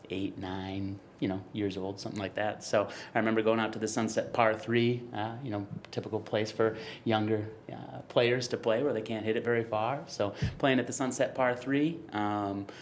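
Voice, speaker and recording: Casual low-voiced American man, faint background noise. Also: steady tone, normal speed. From United States, grew up in Wisconsin. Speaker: podcast co-host and golf business co-founder. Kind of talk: casual chat, telling own story.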